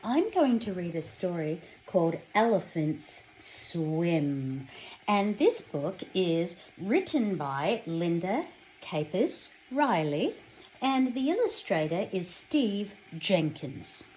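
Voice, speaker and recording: Casting: Australian woman, children's read-aloud storyteller, lowish voice with lively swings, unhurried delivery. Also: slight background noise, low-quality recording. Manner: addresses young children directly, expressive storytelling. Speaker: teacher reading a picture book aloud to children.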